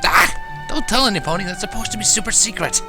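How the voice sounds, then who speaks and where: Man putting on a high-pitched, excitable little boy dragon. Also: fast talker, over loud music. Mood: panicked pleading. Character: small young male dragon, excitable and cheeky.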